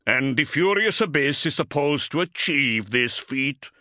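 A man voicing a gruff, sceptical, battle-hardened warrior in an audiobook, with a growling, blunt and forceful delivery. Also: medium pitch, animated, measured pace, no room sound, studio quality, voice pushed up. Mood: scornful.

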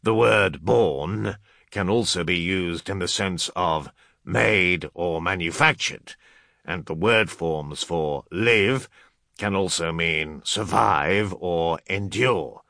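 Man as a grave, deliberate scholar. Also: low-pitched, vocal fry, bright, forceful tone, dry recording, clean studio sound, exaggerated voice. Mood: grave, careful. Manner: deliberate, precise, halting. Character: grave, careful male scholar translating cryptic chants.

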